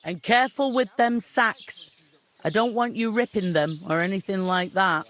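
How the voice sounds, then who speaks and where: A rustic villager from a medieval fantasy game. His voice sits a little high, and he talks in a folksy, colloquial way. He comes across as a good-natured local gossip. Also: normal speed, fairly bright tone. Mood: helpful warning. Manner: folksy, colloquial rural speech. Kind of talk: video game dialogue.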